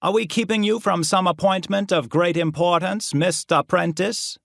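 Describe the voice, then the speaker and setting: A man's voice: sits high, normal speed, gravelly, fairly bright tone, dry recording, studio quality, voice pushed up. A man voicing a theatrical college professor in an audiobook, declaiming like a preacher with mocking, taunting questions. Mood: mocking, sarcastic. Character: ranting theatrical male college professor, sarcastic and menacing. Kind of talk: audiobook character voice.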